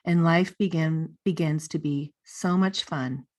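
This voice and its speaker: A woman's voice: low voice, unhurried, a little mellow. A woman in her forties hosting a podcast from her living room over a video call, talking in an upbeat, gushing way with lots of "you know.". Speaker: podcast host. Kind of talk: scripted promo then casual interview chat. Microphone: studio mic on a boom arm, earbuds, video call.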